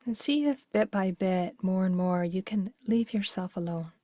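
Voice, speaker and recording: A woman leading a guided meditation in a gentle, unhurried, soothing way, with phrases that soften into breathiness. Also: medium pitch, dry recording, clean studio sound.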